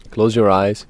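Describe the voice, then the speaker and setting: A man leading a guided meditation, speaking in a deliberate, halting way with pauses. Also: lowish voice.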